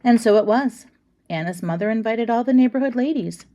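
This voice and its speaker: A woman's voice: a little high, brisk, dark tone, muffled recording. Woman reading a storybook aloud. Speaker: reader of a children's picture book.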